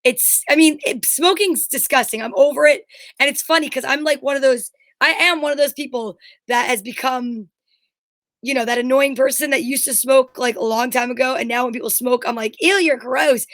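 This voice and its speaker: A woman's voice: high-pitched, normal speed, a bit bright, no echo. A middle-aged woman co-hosting a talk show, chatting casually in a blunt, candid, rambling storytelling way. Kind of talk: casual chat.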